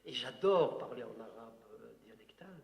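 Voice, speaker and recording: Gravelly man lecturing in an echoing hall. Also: medium pitch, animated, moderate pace, darkish tone. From Algeria. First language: Algerian Arabic. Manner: digressive, emphatic, many filler "hein" and "euh". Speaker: author giving a talk. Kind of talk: unscripted lecture answering a question. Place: hall with audience. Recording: roomy hall with long reverb.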